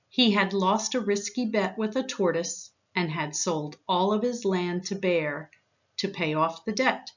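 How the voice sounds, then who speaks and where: A woman's voice: lowish voice, a bit bright, highs cut off. A woman reading a children's storybook aloud as narrator. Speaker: story reader.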